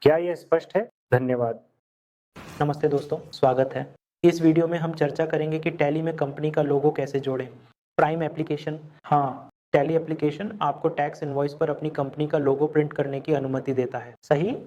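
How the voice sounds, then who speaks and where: A man giving a step-by-step software tutorial as a screen-recorded lesson. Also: a little high, level tone, normal speed, muffled recording. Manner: step-by-step instructional explaining.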